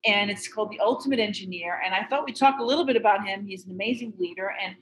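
A woman at a middle pitch hosts an interview show. She sounds eager and sets up each question at length before handing it over. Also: fast, a bit bright, low-quality recording. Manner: enthusiastic, asks leading questions. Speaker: podcast host. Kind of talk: interview hosting.

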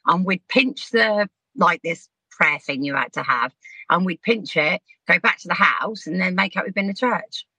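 Brief: woman, 50s, podcast guest, laughs through her stories, bright tone. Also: on the low side, lively intonation, moderate pace, breathy edge, no echo, low-quality recording. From Southampton, England. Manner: chatty, frank, laughs while telling stories. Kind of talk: casual storytelling chat.

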